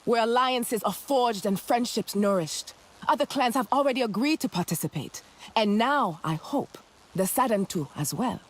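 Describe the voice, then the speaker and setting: Solemn, ceremonial clan leader. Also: female voice, a little high, lively intonation, moderate pace. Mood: reverent, inviting. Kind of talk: video game voice acting.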